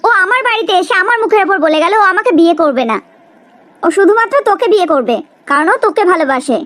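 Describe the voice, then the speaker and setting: Cartoon jealous young friend, woman performer: extremely high voice, accusing, melodramatic, combative delivery. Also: animated, fast, fairly bright tone, dry recording. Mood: jealous, defiant. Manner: confrontational, dramatic.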